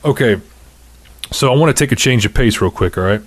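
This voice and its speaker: Male podcast host, thirties, opinionated, sweary, casual rambling chat, medium pitch, very dry recording. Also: fairly quick, dry recording. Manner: profane, opinionated, ranting. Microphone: studio condenser microphone on table.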